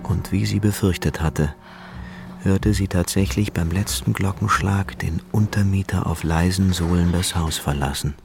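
An actor as the narrator of a radio drama, telling a suspense tale in a measured, literary way. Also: male voice, low voice, steady tone, creaky, music in the background. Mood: measured, suspenseful. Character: male storyteller of a suspense tale.

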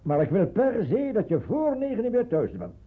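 A middle-aged actor playing a worried, stern father in a radio drama, with a sometimes halting delivery. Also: high-pitched, wildly swooping pitch, breathy edge, exaggerated voice. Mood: anxious, stern. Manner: halting, stammering in places. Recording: tinny band-limited old radio play.

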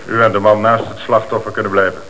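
A composed police inspector in a Dutch radio play. His voice sits on the low side, businesslike and measured, with a Netherlands Dutch accent. The old recording has a faint hiss. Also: flat delivery, bright, forceful tone, rough recording. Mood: businesslike, calm. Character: calm, businesslike male police inspector questioning witnesses.

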